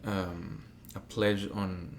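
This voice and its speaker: A man's voice: deep voice, flat delivery, very slow, gravelly, slight background noise. A man with a Mexican Spanish accent, a native Spanish speaker and opera tenor, talking reflectively as a guest in a casual interview. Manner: reflective, acts out quoted voices.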